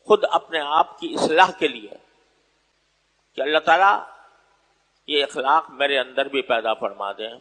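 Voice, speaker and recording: A man's voice: medium pitch, fairly bright tone. Male preacher in a reverberant hall. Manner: deliberate, pausing between short phrases. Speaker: Islamic preacher addressing listeners. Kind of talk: sermon, unscripted religious exposition. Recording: echoey hall with long reverb.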